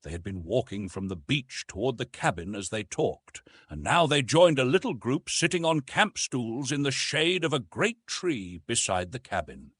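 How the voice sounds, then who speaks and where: A male audiobook narrator reads an old adventure novel with plenty of rise and fall. His middle-pitched voice is husky and recorded very dry. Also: lively intonation, normal speed, hardly any pauses, fairly bright tone, no echo.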